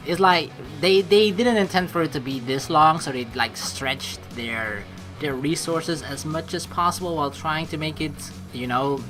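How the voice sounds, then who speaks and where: A woman hosting a let's play, rambling informally and reading the game's text aloud in a casual way. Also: rumbling low. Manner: rambling, animated, informal with mild swearing. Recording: faint game music behind the voice. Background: game music.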